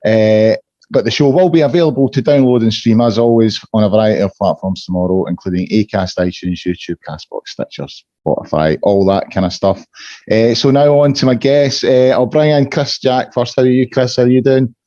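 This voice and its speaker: Hoarse Scottish man hosting a podcast. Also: medium pitch, brisk, warm tone, no room sound, lo-fi sound. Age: middle-aged. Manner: chatty, repeats fillers and restarts. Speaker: podcast host. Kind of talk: casual fan podcast chat.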